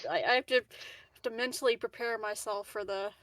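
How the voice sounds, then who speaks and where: A woman's voice: a little high, moderate pace, fairly bright tone. Amused woman in casual game chat. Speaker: tabletop game player.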